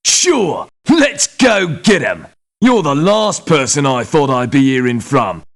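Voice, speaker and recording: A hot-tempered male mercenary veteran from a video game. His voice sits fairly high and swings animatedly, with slow, drawn-out words that drip sarcasm and blunt scorn. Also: fairly bright tone, exaggerated voice. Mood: exasperated, mocking. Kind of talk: video game character voice lines.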